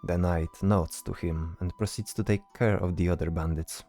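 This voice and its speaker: Deep-voiced man narrating a fantasy story. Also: fairly even intonation, moderate pace, mellow, soft background music.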